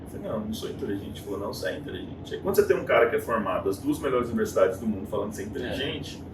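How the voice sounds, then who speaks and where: A Brazilian man in his thirties with a Brazilian Portuguese accent, a guest chatting casually in an interview and telling his story with animated, acted-out quotes. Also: lowish voice, rapid-fire, a crowd in the background. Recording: echoey room, distant mic, noisy.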